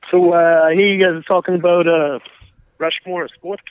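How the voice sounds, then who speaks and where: A man with a Boston accent speaks over a dry, muffled phone call. His voice sits fairly high, and he talks casually with profane, mock-serious humor as a sports guest. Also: little pitch movement, moderate pace, clean tone, no room sound. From Boston area, United States. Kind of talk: casual comedic chat.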